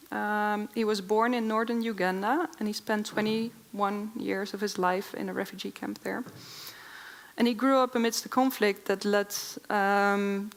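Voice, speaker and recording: A woman in her thirties introduces a speaker as event host, reading from prepared notes at a podium. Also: medium pitch. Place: theatre stage at a podium.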